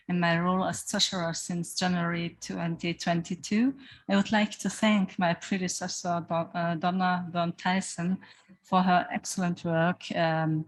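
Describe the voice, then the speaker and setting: A woman whose first language is German, presenting a formal financial report as an association's treasurer, in a measured and careful way. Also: lowish voice, low-quality recording.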